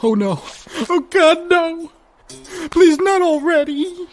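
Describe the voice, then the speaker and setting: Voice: very high voice, animated, slow, faint music behind, full character voice. An actor playing a frightened, pampered young male captive in a video game, stammering and pleading. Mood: terrified, begging.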